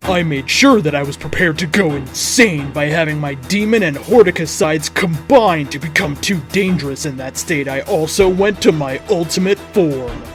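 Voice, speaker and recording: A man's voice: on the high side, moderate pace, hardly any pauses. A man reading a story aloud as a dramatic narrator. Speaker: host reading fan fiction aloud. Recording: music under the voice.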